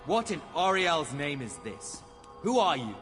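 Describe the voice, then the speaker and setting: A man's voice: a little high, big pitch swings, unhurried, a bit bright, soft background music. A man playing a proud, hot-headed elf soldier in a video game, scornful and confrontational. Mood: defiant.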